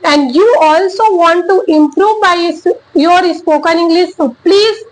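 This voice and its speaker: A woman with an Indian accent whose first language is Chhattisgarhi, talking casually to camera about learning English. She speaks haltingly, searching for words, in simple encouraging sentences. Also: very high voice, poor audio.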